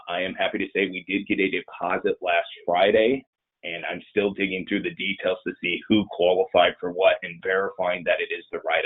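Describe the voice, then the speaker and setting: A man presenting and taking questions in an informal webinar, conversational and rambling, sounding nasal and congested with a cold. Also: low-pitched. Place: online presentation. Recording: muffled band-limited online webinar audio.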